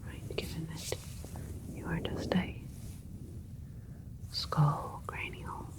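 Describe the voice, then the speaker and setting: A woman voicing a gentle alien scientist in a whispered ASMR sci-fi roleplay, speaking softly and carefully in soothing, clinical phrases. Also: takes it slowly, close to an everyday voice, poor audio. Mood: soothing, attentive. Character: gentle alien scientist doctor tending a newborn plant hybrid.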